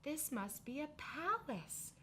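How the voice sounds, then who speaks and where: A woman reading the part of a small, innocent toy bear full of wonder, speaking in a slow, wondering way. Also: sits high, big pitch swings, a bit bright, a little hiss or hum, pitched above the performer's own voice, exaggerated voice.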